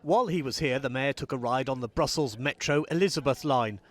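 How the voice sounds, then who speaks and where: A man reporting as a political correspondent, with a measured, clear delivery. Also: medium pitch. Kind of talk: news report voice-over and interviewing.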